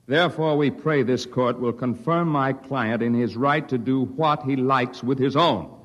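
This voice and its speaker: A man's voice: mid-range voice, measured pace, fairly bright tone, muffled recording, close to an everyday voice. A man playing a formal, assertive courtroom lawyer, oratorical, in 1950s radio drama style. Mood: indignant, declamatory. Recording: echoey hall, 1950s radio recording.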